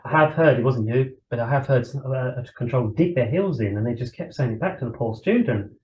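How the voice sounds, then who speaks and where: A man's voice: mid-range voice, expressive, a little fast. A British man talking informally as an instructor in a class, chatty and fond of telling anecdotes with quoted speech. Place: indoor ground school classroom. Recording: echoey room, slightly dull sound.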